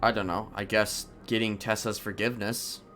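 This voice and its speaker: A man playing a conflicted prison inmate trying to reform, improvising in a halting, stammering way. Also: on the low side, flat delivery, bright, forceful tone, slight background noise. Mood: hesitant, earnest. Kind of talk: improvised online roleplay.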